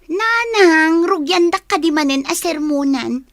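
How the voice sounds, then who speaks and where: A woman's voice: very high-pitched, expressive, unhurried, a bit bright, no echo, studio quality, exaggerated voice. A woman playing a defiant, whiny young daughter who resents scolding in a radio drama. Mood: sulky. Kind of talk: radio drama acting in Ilocano.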